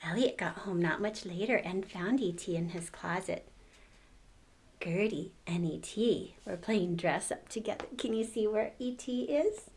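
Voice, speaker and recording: A woman's voice: medium pitch, a little slow, darkish tone, a little hiss or hum. An older woman reading a storybook aloud to children, expressively, with exclamations and questions to the listener.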